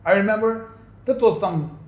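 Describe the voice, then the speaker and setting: A man's voice: sits high, moderate pace, darkish tone. A man hosting a podcast interview, asking loose, casual questions with lots of fillers. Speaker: podcast host. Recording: echoey room.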